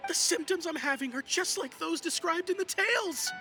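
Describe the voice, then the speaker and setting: An actress playing a frightened, superstitious young townsperson in an anime video game, melodramatic and anxious. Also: female voice, extremely high pitch, moderate pace, over music. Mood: dramatic dread.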